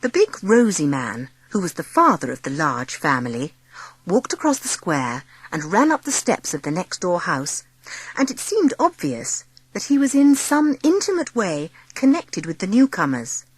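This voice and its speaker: Expressive, lively woman narrator, slightly husky. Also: on the low side, normal speed, dry recording, rough recording. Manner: expressive storytelling. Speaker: audiobook narrator. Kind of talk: dramatised children's story narration.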